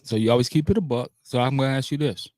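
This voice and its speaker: A man's voice: medium pitch, racing, darkish tone, dry recording. An American man co-hosting a sports podcast in casual football banter, prodding his co-host with questions and challenges.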